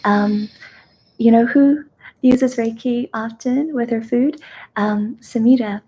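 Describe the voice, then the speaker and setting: A young woman born in China, whose first language is Kazakh, hosting a live ASMR question-and-answer chat in a gentle, soft-spoken way that slips into whispers. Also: on the high side, slow pace, slightly rough, poor audio. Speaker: ASMR host.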